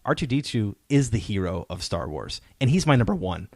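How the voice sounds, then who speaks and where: A man's voice: lowish voice, big pitch swings, fairly quick, no echo, clean studio sound. A man hosting a podcast, chatting casually as a fan in an enthusiastic, emphatic way that seeks agreement. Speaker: podcast host.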